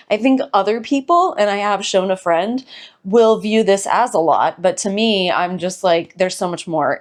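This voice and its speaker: A woman hosting her channel, giving a chatty, rambling home tour while hoarse from recovering from an illness. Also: mid-range voice. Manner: bubbly, rambling, self-deprecating. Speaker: host of a home decor channel.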